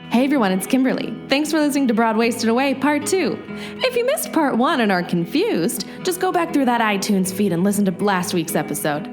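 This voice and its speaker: A woman's voice: fairly high pitch, sing-song, a little fast. A woman podcast host giving a casual, chatty outro to listeners. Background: guitar music.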